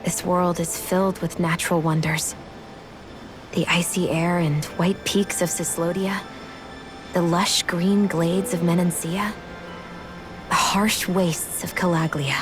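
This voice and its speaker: Low-voiced reserved young woman. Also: fairly even intonation, slow pace, over music. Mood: pensive, guarded. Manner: reserved, reflective. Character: reserved, pensive young woman in fantasy game. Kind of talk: video game cutscene dubbing.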